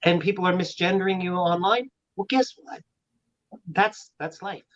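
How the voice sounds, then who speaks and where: Blunt low-voiced woman, lo-fi mic. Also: normal speed. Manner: blunt, opinionated. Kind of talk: casual podcast chat.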